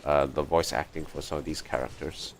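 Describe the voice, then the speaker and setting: A man's voice: rumbling low, level tone, fairly quick, vocal fry, slight background noise. A man doing casual live commentary on a video game, sometimes reading out text from the screen. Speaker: game livestreamer.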